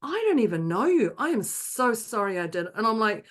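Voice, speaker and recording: A woman co-hosting a podcast who tells stories in an animated way, with frequent restarts and repeated words. She slips into other people's voices when quoting them, in plain casual chat. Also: on the high side, normal speed. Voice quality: not calming, by her own account. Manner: animated anecdotes, many restarts, acts out others' lines. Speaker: podcast co-host.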